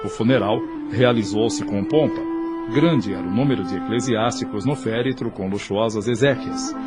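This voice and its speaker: A male narrator tells an audio drama in formal, declamatory style. His voice is on the low side and solemn, with a Brazilian accent. Music plays clearly behind him. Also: moderate pace. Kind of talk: dramatic scripted narration for audio soap opera. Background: prominent music.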